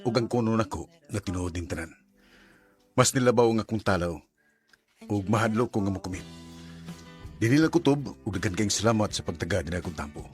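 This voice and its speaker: A man's voice: lowish voice, animated, a little fast, long pauses, faint music behind, natural voice. An actor playing a hesitant young man afraid to commit, in a radio drama, with a Cebuano Filipino accent. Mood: regretful. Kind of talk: Filipino radio drama acting and letter narration.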